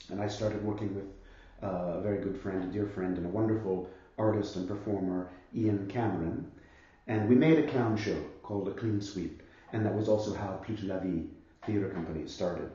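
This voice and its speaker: A man in his fifties, an actor and theatre director, talking thoughtfully about his career in a husky voice from a cold, often pausing and restarting. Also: sits low, dark tone, very echoey, highs cut off.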